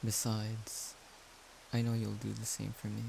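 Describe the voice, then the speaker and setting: A man playing a caring, shy young friend in an intimate audio roleplay, soft-spoken and hesitant as he gently reassures the listener. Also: lowish voice, completely flat delivery, unhurried, vocal fry. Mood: tender, bashful. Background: rain-like noise.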